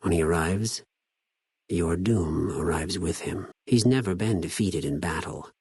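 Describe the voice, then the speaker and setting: An actor playing a cold, zealous, contemptuous legion spy in a video game, speaking formally with measured condescension. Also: male voice, low voice, a little mellow, husky, dry recording, clean studio sound, heavily acted. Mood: contemptuous menace. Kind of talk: scripted video game character dialogue.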